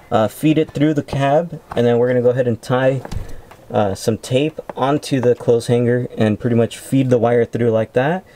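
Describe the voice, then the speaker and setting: A man's voice: mid-range voice, mellow, slight background noise. A man hosting an informal how-to video, explaining things step by step in a casual way. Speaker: video host.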